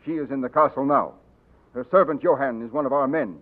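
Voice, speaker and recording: A man's voice: medium pitch, fairly quick, close to an everyday voice. A man playing a stern loyal colonel. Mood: briefing. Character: gruff loyal older male colonel. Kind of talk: 1940s radio acting. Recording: tinny 1940s radio broadcast.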